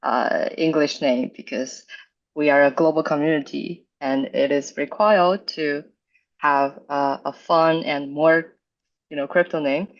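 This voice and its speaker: A woman from China introducing herself on a podcast, talking haltingly with many restarts and fillers. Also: deep voice, fairly even intonation, highs cut off. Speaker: community co-founder.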